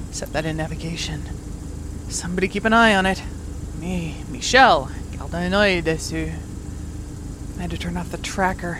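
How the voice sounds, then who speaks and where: A slightly low, tired female voice over clear engine noise. An actress plays a lone driver crossing the moon, talking to herself in a loose, muttering way, as in an audio drama. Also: close to an everyday voice. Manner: muttering, self-talking, informal. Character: weary woman driving alone across the moon. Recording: loud vehicle engine noise. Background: rumbling vehicle.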